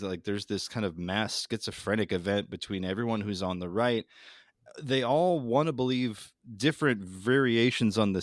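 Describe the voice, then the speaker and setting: A man's voice: on the low side, no echo, clean studio sound. A man as a podcast guest, giving casual political commentary in a wry, rambling way.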